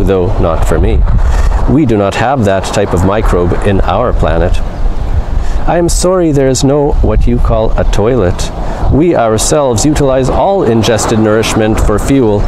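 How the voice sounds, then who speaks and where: A man's voice: lowish voice, close to an everyday voice. A man voicing a polite, octopus-like alien entertainer who tells a story in formal, literal, slightly huffy speech, reading aloud. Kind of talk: storytelling, read aloud from a text. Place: open field outdoors. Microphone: camera a few metres away. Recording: outdoor noise from open-air camera.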